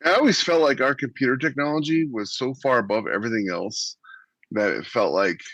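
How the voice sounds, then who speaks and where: A man's voice: medium pitch, a little fast, a bit bright, no echo. An American man co-hosting a podcast over an online call, chatting casually and telling anecdotes. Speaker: podcast co-host. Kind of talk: casual panel discussion. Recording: dry, slightly dull audio.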